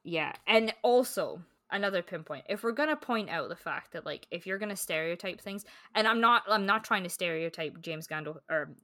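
A woman with a Scottish accent chats about books with a friend in a lively, talkative way. Her voice sits a little low but sounds bright. The sound is dry and close. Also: moderate pace, few pauses, dry recording. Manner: animated, chatty storyteller with frequent fillers. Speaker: podcast co-host. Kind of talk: casual book chat.

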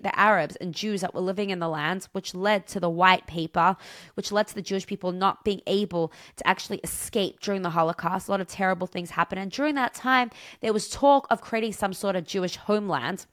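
A woman's voice: mid-range voice, fairly quick, dry recording, studio quality. A woman giving a religious lecture as a Torah teacher, impassioned and emphatic, with rhetorical questions and storytelling. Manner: impassioned, emphatic, dramatic shifts between stressed and hushed phrases. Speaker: Torah teacher giving a weekly portion talk.

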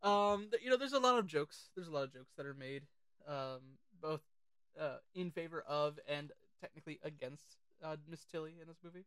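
A man co-hosting a casual film discussion, sharing trivia in a chatty, halting way and often repeating his phrases. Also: a little high, fairly bright tone, clear, resonant tone, dry recording. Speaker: podcast co-host.

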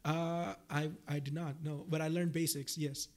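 The slightly high-voiced, talkative young guy from India, Gujarati his mother tongue, rephrasing his thoughts across the table on a student research podcast. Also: flat delivery, normal speed. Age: young adult. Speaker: podcast guest, PhD researcher. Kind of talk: casual interview chat.

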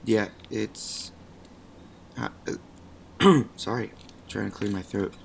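Young male podcast co-host, low, gravelly, lost-his-voice hoarseness, casual delivery, faint noise behind. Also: takes it slowly. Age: early 20s. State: hoarse from losing his voice, tired. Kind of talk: casual pop culture podcast chat. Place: hotel room on a trip.

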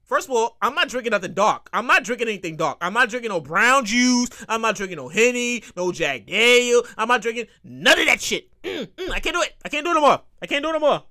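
A man's voice: very high-pitched, fast, few pauses. A man in his thirties hosting a casual solo podcast, rambling and cursing as he repeats himself. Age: 30s. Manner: animated, acts out quotes.

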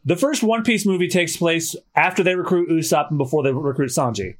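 A man hosting a casual podcast, chatting in a rambling way with restarts, his voice slightly hoarse. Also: a little high, brisk. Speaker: podcast host.

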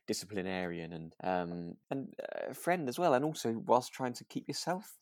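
Male podcast co-host, low register, casual and hesitant delivery, very dry recording. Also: big pitch swings, normal speed, no room sound. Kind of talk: casual chat reviewing a radio drama.

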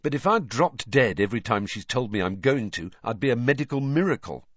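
A man's voice: mid-range voice, animated, fairly quick, fairly bright tone, dry recording, dull audio. A man voicing a plain-spoken, wry teenage schoolboy who is direct and questioning, in an audiobook.

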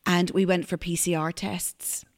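A woman with a big, loud voice, co-hosting a podcast and telling lively stories. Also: lowish voice, measured pace. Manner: big loud voice, animated storyteller. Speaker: podcast co-host. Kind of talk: casual storytelling chat.

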